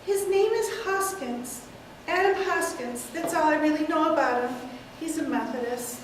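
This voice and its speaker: A woman reading the part of a sweet, genteel elderly aunt, gentle and matter-of-fact, in an echoey room far from the mic. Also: sits high, moderate pace, a little hiss or hum, lightly acted. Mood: placid.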